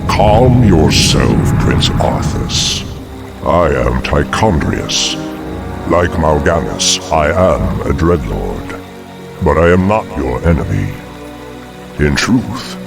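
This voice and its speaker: A man voicing an imposing demon dreadlord in a video game, sinister and calculating, with a gravelly, pompous, commanding delivery. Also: very deep voice, very animated, slow pace, loud music behind the voice, full character voice. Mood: smooth menace. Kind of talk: dramatic video game acting.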